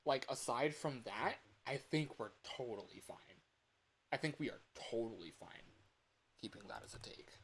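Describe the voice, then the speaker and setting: A man's voice: medium pitch, lively intonation, normal speed, gravelly, a bit bright. A man chatting casually on a livestream, thinking aloud in a muttering way, as an amateur voice actor. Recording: hissy home recording, computer fan noise. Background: computer fan hum.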